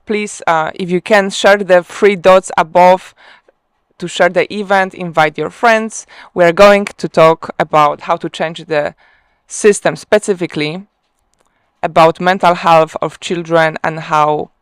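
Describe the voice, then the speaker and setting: A woman from Poland with a Polish accent, hosting a live online interview and speaking hesitantly with many pauses. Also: fairly low pitch, steady tone, smooth, no room sound. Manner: hesitant, many ums and restarts. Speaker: host.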